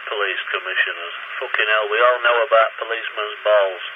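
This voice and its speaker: A man's voice: on the low side, steady tone, a little fast, noisy background. A man grumbling and swearing in casual chat over a CB radio. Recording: tinny CB radio line.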